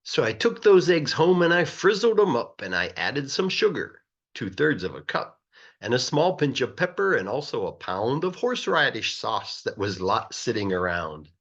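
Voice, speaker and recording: A man reading a children's rhyming story aloud in a playful, rhythmic, expressive way, like a teacher. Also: medium pitch, highs cut off. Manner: animated, playful, rhythmic verse delivery.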